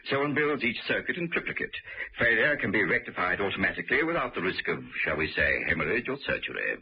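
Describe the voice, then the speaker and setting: A coolly superior British scientist who trusts machines over people. The voice is deep but swoops in lively fashion, formal and pedantic, on a dull old radio-play recording. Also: male voice, fast talker, a little hiss or hum. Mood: smugly assured. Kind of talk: vintage radio drama acting.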